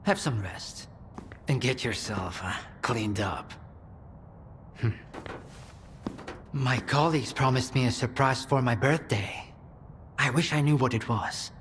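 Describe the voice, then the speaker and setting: A man playing a smooth, self-assured scientist in a video game cutscene, speaking deliberately and with condescension. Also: mid-range voice, a bit bright, a little hiss or hum. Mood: brisk, hospitable. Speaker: scientist running experiments.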